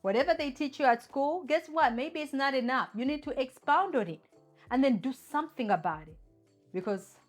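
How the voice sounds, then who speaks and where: A woman's voice: sits high, expressive, normal speed, faint music behind. A woman from Uganda hosts her own channel and speaks straight to camera, making emphatic points and asking many rhetorical questions. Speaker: channel host. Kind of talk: opinion talk to camera.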